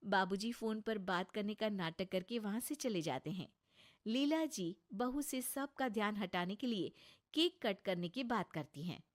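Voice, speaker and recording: A woman's voice: medium pitch, animated, measured pace. A woman narrating a scripted story as a storyteller.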